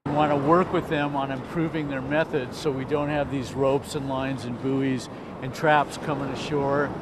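An earnest man explaining things in a field interview on a beach. His voice sits at a middle pitch, with loud traffic and outdoor noise around him. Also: flat delivery, normal speed.

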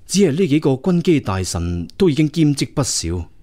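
A man's voice: medium pitch, sing-song, moderate pace, warm tone, dry recording, natural voice. An actor playing a dutiful prince serving his emperor in a radio drama, respectful and formal. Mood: deferential. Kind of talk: Cantonese radio drama acting.

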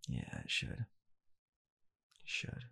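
A young British man in a hushed, halting, deadpan comedic ASMR roleplay, playing a bitter, weary father coldly blaming his child. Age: young adult. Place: small home room, indoors.

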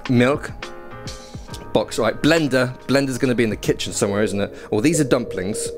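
A man's voice: mid-range voice, moderate pace. A young man on a headset mic giving casual, self-deprecating commentary while trying a VR game, reading prompts aloud. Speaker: gaming YouTuber. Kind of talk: first-impressions gameplay commentary. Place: small indoor room playing VR. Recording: game music behind.

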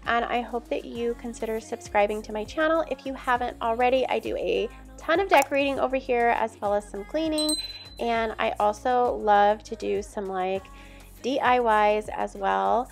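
A woman speaks in a fairly high voice with noticeable vocal fry. She chats in a friendly, conversational way, like a home vlogger talking to her followers. Soft music plays behind her. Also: normal speed. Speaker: home cleaning and decorating vlogger. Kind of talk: casual voice-over chat to viewers. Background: background music.

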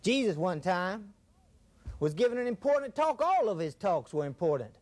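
A man's voice: sits high, sing-song, normal speed, darkish tone. A man preaching a fiery televised sermon, dramatic and repetitive, coining odd words.

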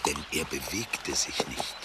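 A man narrating a children's story expressively, with deliberate, dramatic pauses. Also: very deep voice, lively intonation. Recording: clearly noisy soundtrack.

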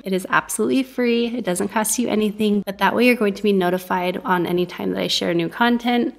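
A young woman vlogging about cleaning her home, chatting with viewers in an eager, direct way. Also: mid-range voice. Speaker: homemaking vlogger. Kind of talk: upbeat cleaning vlog talk.

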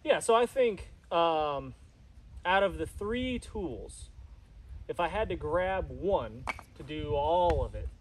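A man's voice: on the high side, expressive. A young man hosting a casual outdoor chat in a wooded yard, easygoing and agreeable. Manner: easygoing, agreeable, lots of quick affirmations. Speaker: channel host. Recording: distant mic with clear outdoor noise.

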